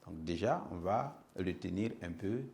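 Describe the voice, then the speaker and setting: Male teacher, slow dictation pace, widely swinging intonation, didactic and repetitive. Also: low voice, lively intonation, creaky, a little mellow. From Burkina Faso. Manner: didactic, repeats phrases, frequent check-in tags. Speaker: middle school history teacher.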